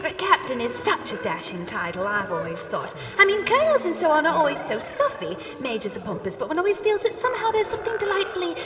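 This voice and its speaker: A woman's voice: high-pitched, big pitch swings, quick pace, few pauses, noisy background. A woman playing a hearty, enthusiastic upper-class dragon breeder in a live radio comedy drama, with plummy, gushing speech and jolly old-fashioned exclamations. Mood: chatty. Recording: live stage, long reverb, music behind.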